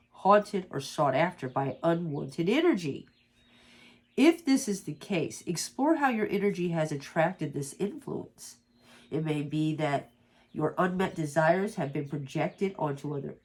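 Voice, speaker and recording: A woman with a stuffed-up nose from allergies, reading slowly and softly from a guidebook as an oracle card reader, with small stumbles and asides. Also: lowish voice, breathy edge. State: congested from allergies.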